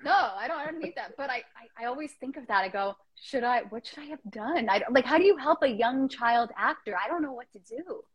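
A woman's voice: sits high, lively intonation, a little fast, hardly any pauses, slightly rough. A woman hosting a casual live-stream chat, rambling and joking about herself. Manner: chatty, rambling, self-deprecating jokes.

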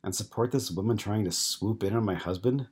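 A man's voice: lowish voice, fairly quick, a little mellow, slightly rough, pitched above the performer's own voice. A man reading the lines of a defiant, suspicious wife in a challenging tone.

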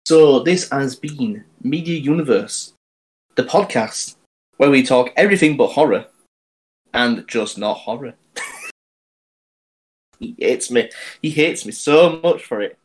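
A man co-hosting a casual podcast chat, talking haltingly and often restarting his sentences. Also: medium pitch, sing-song, rough recording. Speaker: podcast co-host.

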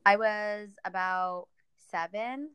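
A woman's voice: medium pitch, takes it slowly, a bit bright, smooth, dry recording. A young woman from Ethiopia, a podcast guest chatting casually and thoughtfully, with frequent fillers.

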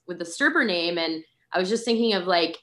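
A woman's voice: lowish voice, lively intonation, a little fast, fairly bright tone. A woman from New England, a podcast guest talking casually with frequent likes and ums. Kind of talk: casual interview.

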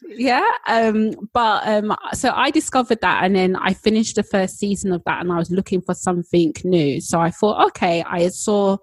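A woman's voice: medium pitch, normal speed, few pauses, breathy edge. A woman co-hosting a podcast, chatting casually with many fillers. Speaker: podcast co-host. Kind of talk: casual chat.

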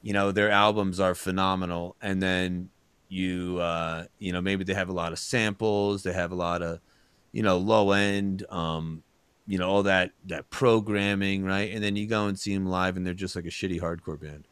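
A man's voice: sits low, fairly even intonation, normal speed, a bit bright, smooth, no room sound. A man co-hosting a casual talk show, rambling and chatty with lots of fillers. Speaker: podcast co-host. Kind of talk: casual chat.

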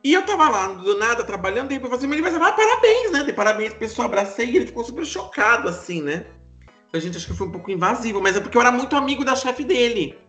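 Brazilian male podcast host, very lively delivery, casual storyteller, roomy sound with faint music. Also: very high-pitched, racing. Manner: expressive, animated.

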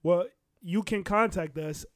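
A man hosting a casual podcast, relaxed and steering the conversation. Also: high-pitched, a little slow, no room sound. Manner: laid-back, steers the talk. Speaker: podcast host.

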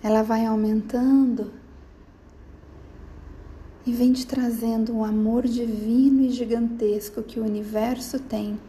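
A woman guiding a sleep meditation in a slow, soft, soothing manner with gentle pauses, slipping into near-whispers. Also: fairly high pitch, level tone, slight background noise. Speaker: meditation guide.

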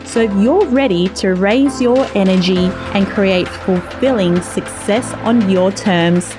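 Woman podcast host, encouraging, mid-pitched, music underneath. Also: a little slow. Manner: encouraging, with brief affirming replies. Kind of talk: interview hosting and ad read. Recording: music playing behind.

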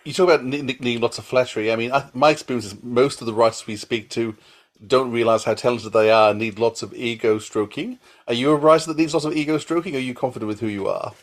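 A man's voice: mid-range voice, fast. A man chatting casually as an interviewer, putting questions to a guest.

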